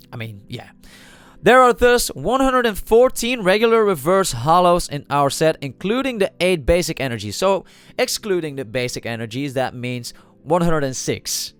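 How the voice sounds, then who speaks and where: Lively nasal man with a cold. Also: a little high, clear, resonant tone. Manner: thinking aloud with many fillers and restarts. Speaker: trading card channel host. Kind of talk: casual video commentary.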